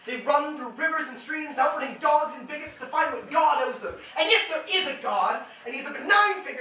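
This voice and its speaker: Man acting a high-voiced skeptical writer, very echoey. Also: pushed and bright, exaggerated voice. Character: skeptical, sarcastic male writer given to overblown zeal. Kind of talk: theatrical staged-reading dialogue. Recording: distant echoey stage recording, faint noise.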